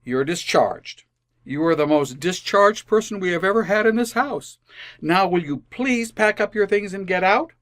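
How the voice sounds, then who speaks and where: A man's voice: high-pitched, animated, moderate pace, fairly bright tone. A man playing an exasperated, wordy family father in an old-time radio comedy, formal and sarcastic.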